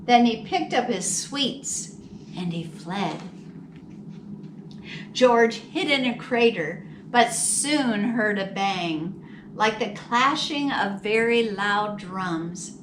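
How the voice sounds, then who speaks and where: Female storytime reader for young children: expressive, dramatic, slow-paced, roomy distant recording. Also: mid-range voice. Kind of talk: children's picture book read-aloud. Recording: roomy, distant mic with faint noise.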